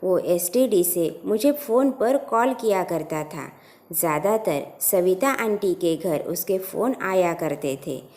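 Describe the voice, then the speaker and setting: Woman, scripted story narration, first person, slow pace, mellow timbre. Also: lowish voice, lively intonation.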